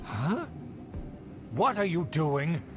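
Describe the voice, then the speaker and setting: A scheming, haughty aristocrat in a fantasy game. His voice sits fairly high and swings theatrically with pompous indignation, over clear background music. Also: very animated, very slow, exaggerated voice. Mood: outraged. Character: haughty, scheming male aristocrat of a fallen clan.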